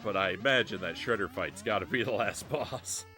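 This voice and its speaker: A man's voice: fairly low pitch, moderate pace, over music. A man streaming a retro game live, chatting casually and self-deprecatingly, often restarting his sentences. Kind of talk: casual live-stream chat while gaming.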